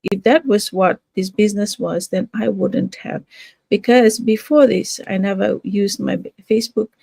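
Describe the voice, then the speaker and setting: Older Fiji-raised woman, casual promoter, halting delivery, warm and dry. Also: medium pitch, steady tone, gravelly, husky, dry recording. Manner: hesitant, many fillers and restarts. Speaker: home business promoter. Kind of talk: casual talk to camera.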